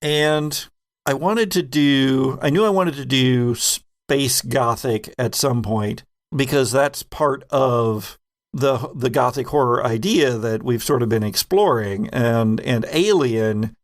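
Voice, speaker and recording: A man's voice: medium pitch, slow pace. A man hosting a podcast, chatting casually as the game master looking back on a finished season. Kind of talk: casual retrospective chat.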